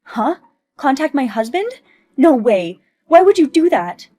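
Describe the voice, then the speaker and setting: Whiny entitled mom, a woman puts her on: shrill, pleading, loud. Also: animated, moderate pace.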